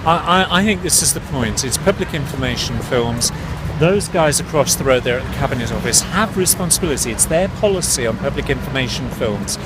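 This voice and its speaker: A man's voice: mid-range voice, expressive, brisk. A man from the UK, a consumer campaigner, talking earnestly and directly to viewers outdoors. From United Kingdom. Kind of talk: outdoor campaign vlog to camera. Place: outdoors on a city street with traffic. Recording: outdoor street noise.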